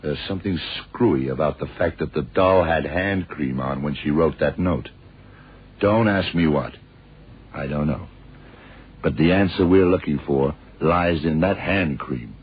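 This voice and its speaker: An actor playing a dogged senior investigator, insistent and deliberate, in a 1970s radio drama. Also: male voice, very deep voice, slightly rough, full character voice. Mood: insistent, brooding. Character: dogged, hunch-driven senior male investigator, dryly authoritative. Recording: tinny vintage radio recording with music.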